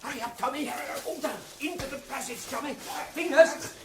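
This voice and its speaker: High-voiced English convict ringleader. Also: male voice, crowd noise. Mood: brisk urging. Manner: brisk, polite, commanding. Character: genial, bossy English convict ringleader, unflappable. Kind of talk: British radio comedy acting.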